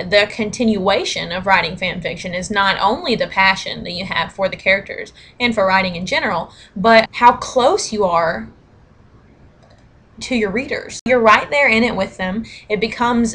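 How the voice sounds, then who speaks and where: A woman's voice: medium pitch, a bit bright. A woman in her twenties with an American accent, talking casually in an interview as a fan. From United States. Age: 20s. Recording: background room noise.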